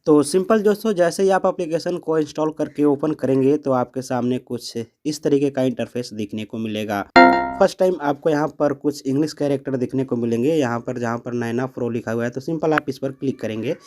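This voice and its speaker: A man's voice: mid-range voice, brisk, background noise. A man hosting a step-by-step app tutorial, explaining instructively to viewers. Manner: instructional, addressing viewers as friends. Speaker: tutorial host.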